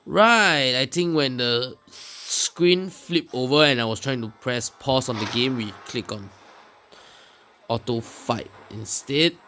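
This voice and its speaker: A man giving casual, unscripted commentary on a mobile game, speaking haltingly and often pausing mid-sentence. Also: mid-range voice, a little hiss or hum. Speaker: gameplay video creator. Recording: music behind the voice. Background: loud game music.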